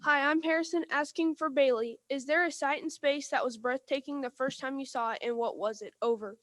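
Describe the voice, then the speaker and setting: A woman's voice: sits high, fairly quick, a bit bright, no room sound. A female-sounding student asking prepared questions during a radio contact.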